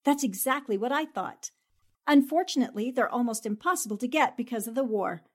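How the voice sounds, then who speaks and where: A woman's voice: on the high side, lively intonation, brisk. A woman playing a plucky, wisecracking young newspaperwoman sleuth in a 1940s-style radio drama, with a flippant, arch way of talking. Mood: sly, arch. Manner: talks to herself, flippant, arch.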